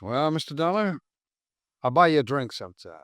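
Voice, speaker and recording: An actor playing a smooth, smug male syndicate suspect with a self-assured, mocking way of talking in a 1950s radio drama. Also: fairly high pitch, very animated, moderate pace, a bit bright, no room sound. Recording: 1950s radio recording, dry studio sound.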